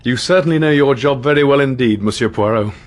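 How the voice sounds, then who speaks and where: An actor playing a caring, inquisitive English doctor in a radio drama, with a British accent and a husky voice. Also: male voice, medium pitch, quick pace, natural voice. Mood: puzzled, impressed.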